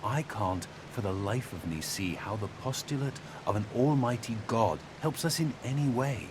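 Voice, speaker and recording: A man acting as an earnest, measured debater in a game audio log, speaking deliberately and articulately. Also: natural voice. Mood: solemn, critical. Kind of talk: naturalistic game audio log dialogue and reading. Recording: steady rumbling background noise.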